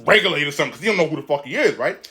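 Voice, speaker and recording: A man telling a story in casual, slangy, animated style, often checking in with the listener. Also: fairly high pitch, rapid-fire, soft background music.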